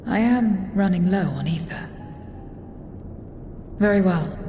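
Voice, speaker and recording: An actress playing an aloof, blunt, solitary woman in a video game cutscene, with terse, deadpan delivery. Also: medium pitch, slow pace, a little hiss or hum, lightly acted. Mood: matter-of-fact. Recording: long echoing reverb with music underneath.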